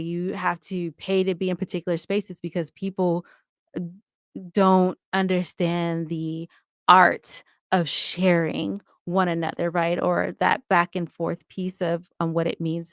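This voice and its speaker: Woman podcast guest w lowish voice, chatting casually, emphatic pep talk style, real dry sound. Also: clean tone, dry recording. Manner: emphatic, motivational, often adds "right?". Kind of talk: casual interview chat.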